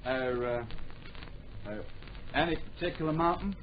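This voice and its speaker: A man's voice: on the high side, moderate pace, close to an everyday voice. An actor in a radio drama playing a persistent, earnest young man who wants to write adventure books. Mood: earnest, polite. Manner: earnest, deferential. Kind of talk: old radio drama acting. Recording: dull, hissy old radio recording.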